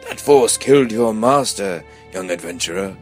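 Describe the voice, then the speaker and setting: A man's voice: lowish voice, expressive, moderate pace, soft background music, lightly acted. A man playing an eccentric, grandiose old wizard in a comic fantasy radio play, with theatrical, portentous delivery. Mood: grandiose, urgent.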